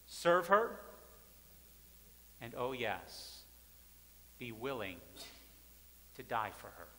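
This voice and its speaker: A man in his thirties, a pastor, preaching at a pulpit in a large church sanctuary with emphatic, repeated phrases that swing between loud and soft. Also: mid-range voice, very animated, very slow, slight background noise. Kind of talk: sermon. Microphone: pulpit mic, far camera. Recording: church hall reverb.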